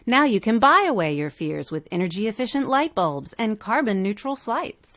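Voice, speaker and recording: A woman's voice: medium pitch, big pitch swings, moderate pace, a bit bright. A woman reading scripted narration as a podcast host.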